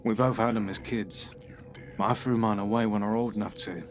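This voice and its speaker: An actor playing a troubled man, giving a halting, brooding confession under questioning. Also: on the low side, steady tone, slight background noise, lightly acted. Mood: uneasy. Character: troubled middle-aged man, paranoid and bitter. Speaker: suspect being questioned.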